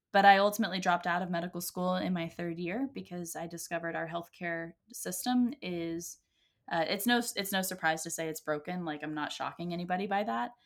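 An American woman, around her thirties, talking conversationally as a co-host, earnest and articulate as she explains in measured phrases. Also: fairly low pitch. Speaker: podcast co-host and health entrepreneur. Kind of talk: conversational self-introduction. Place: at home, remote call.

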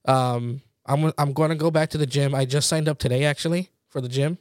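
A man's voice: medium pitch, steady tone, fairly quick, darkish tone, no room sound, studio quality. A man in his late twenties chatting casually as a podcast co-host, informal, slangy and self-deprecating. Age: late 20s. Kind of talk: casual chat between friends.

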